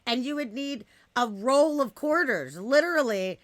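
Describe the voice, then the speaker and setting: A raspy-voiced woman from the US West Coast in her thirties, a podcast guest chatting casually and bluntly, swearing freely as she tells stories. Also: high voice, animated, unhurried. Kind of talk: casual chat.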